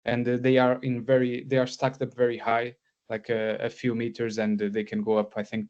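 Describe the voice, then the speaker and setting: A man's voice: mid-range voice, darkish tone. A man in his thirties from Portugal gives a guest lecture over a video call, talking with frequent fillers and restarts. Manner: hesitant, many fillers and restarts. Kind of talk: academic lecture. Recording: slightly dull lo-fi sound.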